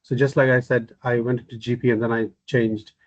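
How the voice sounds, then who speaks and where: Flat-voiced man, measured and explanatory. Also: mid-range voice, dry recording. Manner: measured, explanatory, repeats himself. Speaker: psychiatrist. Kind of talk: podcast interview answers. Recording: dry, dull, muffled audio.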